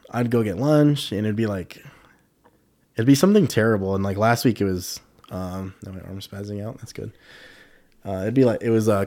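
A young man with a low voice and a slightly congested sound, talking through a relaxed solo podcast episode. His tone is mellow and dark, and the recording is dry and close, with no room around it. He rambles candidly and leans on lots of "you know"s and "um"s. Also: no room sound. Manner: rambling, loose and candid, many fillers and swearing. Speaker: podcast host. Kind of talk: casual solo podcast chat.

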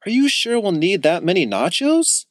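A man playing a timid storybook boy with a very high, squeaky voice that shoots up when he frets. He hesitates and whimpers, heard dry and up close. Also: sing-song, normal speed, no echo. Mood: hesitant. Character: nervous, timid young boy.

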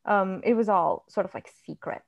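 A woman from India, speaking, talks as a guest author in a relaxed interview. Her mid-pitched voice carries a gravelly fry, and she speaks softly and thoughtfully, letting sentences fade at the ends. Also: moderate pace. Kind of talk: casual interview chat.

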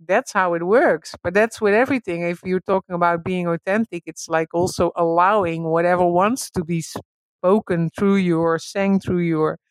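A woman whose first language is Dutch talks through spiritual ideas in a relaxed way. She restarts often, laughs easily and jumps high when excited. The sound is dry and close, with no room around it. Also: normal speed, no echo, rough recording. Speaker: guest.

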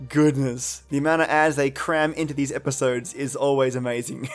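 A man's voice: mid-range voice, little pitch movement, normal speed, faint music behind. A man hosting a podcast, wrapping up the episode in a chatty, easygoing way and laughing easily. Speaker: podcast host. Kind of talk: casual podcast wrap-up.

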